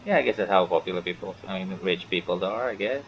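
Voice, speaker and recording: A man's voice: low voice, quick pace, dull audio, slight background noise. A man giving casual playthrough commentary as a gaming host, muttering to himself and reacting aloud. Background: game music.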